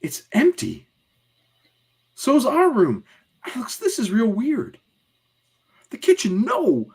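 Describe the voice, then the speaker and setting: A man voicing a lively, bossy school-age girl from a comic, in an exclamatory manner. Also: extremely high pitch, takes it slowly, husky, voice pushed up, full character voice. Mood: alarmed.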